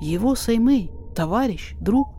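A woman narrator reading a poetic story aloud in expressive, measured, dramatic verse. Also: fairly high pitch, music in the background.

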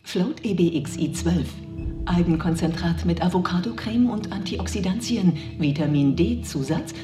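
An actress playing a cold female machine voice that announces procedures in flat, clipped lines, with music behind. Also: on the low side, moderate pace, lightly acted. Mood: announcing.

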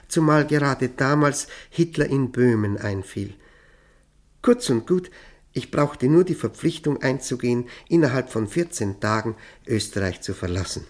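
A man's voice: medium pitch, natural voice. A man acting a courteous, nervous former prisoner in a radio play, speaking hesitantly and reflectively with formal politeness. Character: haunted Austrian lawyer, former Gestapo prisoner, courteous and nervous. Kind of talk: German radio play acting, confiding narration.

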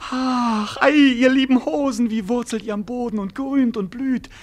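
An actor playing a cynical, whining jester in a classic radio play, exclamatory and mock-philosophical, swinging from groans to grandiose outbursts. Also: male voice, extremely high pitch, measured pace. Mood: rapturous.

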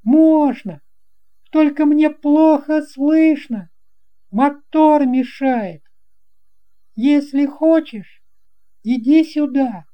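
A narrator voicing a calm, friendly, brave young pilot in a storybook, assured and laconic. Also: high-pitched, very slow, pauses often, muffled recording, pitched above the performer's own voice. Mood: calm, confident.

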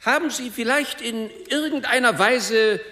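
An actor playing a probing defence lawyer in a courtroom radio drama, questioning in a pointed, insinuating way. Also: male voice, very high-pitched, measured pace, pushed and bright, full character voice. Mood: accusatory, insinuating. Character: probing, accusatory male defence lawyer in cross-examination. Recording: reverberant courtroom-like hall.

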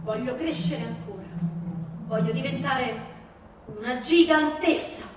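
A woman's voice: sits high, measured pace, slight background noise. Woman playing a brave village girl, echoey hall. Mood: determined. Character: brave, simple village girl who turns giantess. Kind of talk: theatrical storytelling. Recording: echoey hall, distant mic, music behind.

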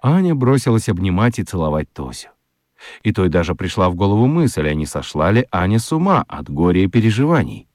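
Guy narrating kids radio story, lowish voice, really animated intonation, dry studio sound. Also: a little fast, no room sound. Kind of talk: expressive scripted storytelling for children's radio.

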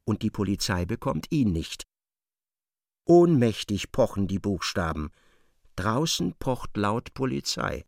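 A grave, intense narrator of a literary radio drama. His voice has a creaky, gravelly edge and moves slowly and deliberately in a dry studio. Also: on the low side, very animated, darkish tone, dry recording, full character voice. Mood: grim.

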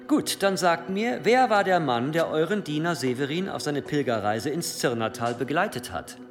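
A medieval church prosecutor in a radio drama, formal and insinuating. His fairly high voice rises and falls a lot in a reverberant hall, pressing smoothly. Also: expressive, moderate pace. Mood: smooth, insistent. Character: shrewd, insinuating medieval cathedral provost prosecuting a bishop. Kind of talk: radio play acting. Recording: long hall reverb, faint music.

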